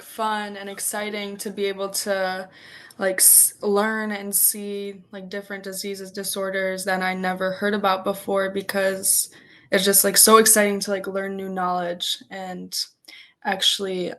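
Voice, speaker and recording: Young woman, narrow pitch range, hesitant delivery. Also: level tone, measured pace, fairly bright tone. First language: Polish. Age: 20s. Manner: hesitant, peppered with "like". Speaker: interview guest, first-year medical student. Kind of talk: conversational interview answers.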